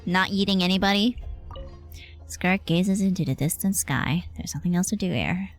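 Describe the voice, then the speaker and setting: A woman's voice: lowish voice, moderate pace, no echo. A woman livestreamer giving casual, playful commentary over a game, with offhand asides. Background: game music.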